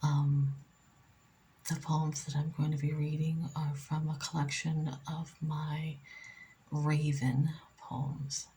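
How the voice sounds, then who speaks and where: Woman, soft-spoken ASMR poetry reading, low-pitched, flat intonation, frequent whispers, deliberate pauses. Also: a little hiss or hum. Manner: slow, deliberate, pausing between short phrases. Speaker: poet reading own work.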